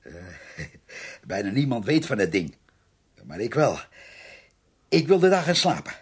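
An actor playing a confused, frightened drifter in a radio play, halting and stammering over repeated words in a husky, creaky voice. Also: male voice, medium pitch, huge pitch swings, exaggerated voice. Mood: hesitant. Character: confused, frightened male drifter confessing to a killing. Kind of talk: Dutch radio play acting.

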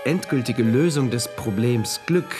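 An actor playing an earnest, devout citizen of a regimented future state, narrating his diary in a measured, introspective way in a radio play. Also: medium pitch, close to an everyday voice. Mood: measured, matter-of-fact. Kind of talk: German radio play first-person narration, diary entries. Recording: music under the voice. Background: music.

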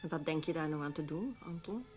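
An actress playing a shrewd, frank former newspaper secretary who gives knowing advice in a radio drama. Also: female voice, low voice, expressive, a little fast, darkish tone, soft background music. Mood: shrewd, advising. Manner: knowing, advisory. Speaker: actor.

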